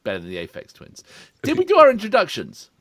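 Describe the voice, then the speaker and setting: A man's voice: high-pitched, wildly swooping pitch, fast talker, fairly bright tone, no echo. A middle-aged man, co-hosting a reaction video in casual chat, reading out notes with asides. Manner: chatty, reads background notes with asides.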